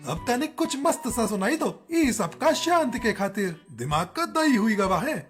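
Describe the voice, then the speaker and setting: Woman as a lively rustic cartoon bird. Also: on the high side, normal speed, soft background music. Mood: genial, coaxing. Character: folksy village bird, genial and teasing.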